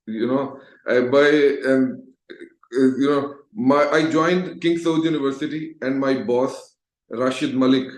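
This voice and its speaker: A roomy, echoing recording of a middle-aged man with a Pakistani accent. His pitch is average, and he talks conversationally as a guest sharing personal stories. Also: steady tone, slow pace. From Lahore, Pakistan. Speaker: interview guest, Toastmaster educator. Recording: echoey, roomy voice sound.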